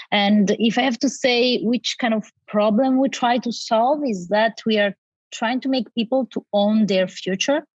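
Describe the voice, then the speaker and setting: A woman's voice: mid-range voice, no room sound, lo-fi sound. A woman from Italy with an Italian accent, a native Italian speaker explaining her work conversationally as a panel guest. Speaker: panel guest, education company representative.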